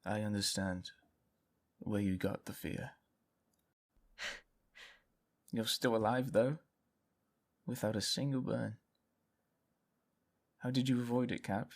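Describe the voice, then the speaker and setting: Audio drama: protective, troubled young male guardsman. Low, fry-edged voice, halting and earnest delivery. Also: steady tone. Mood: stunned concern. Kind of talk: dramatic audio fiction acting.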